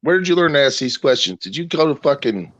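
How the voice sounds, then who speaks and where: A man's voice: mid-range voice, big pitch swings, quick pace, lo-fi sound. Brash, profane South Texas man. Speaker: guest crashing a podcast. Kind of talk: casual chat.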